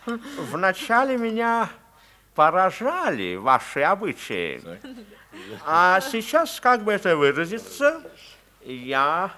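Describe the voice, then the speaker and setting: Radio play diplomat, ultra-polite, slow and bright, pitch wandering all over. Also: male voice, sing-song, exaggerated voice. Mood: courteous. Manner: slow, elaborately courteous. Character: polite male Japanese embassy secretary. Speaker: embassy secretary.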